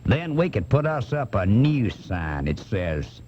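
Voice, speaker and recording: An indignant Southern redneck ranting on comedy radio. He drawls slowly and scornfully, pitch lurching up and down. The tape is dull and muffled. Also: big pitch swings, a little mellow, slight background noise. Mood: mocking, disgusted. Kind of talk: comic radio rant monologue. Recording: muffled off-air cassette radio recording.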